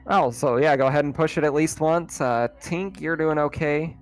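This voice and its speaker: A man running a tabletop roleplaying game as game master, narrating in a conversational, halting way. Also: a little high. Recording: music playing behind the voice. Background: background music.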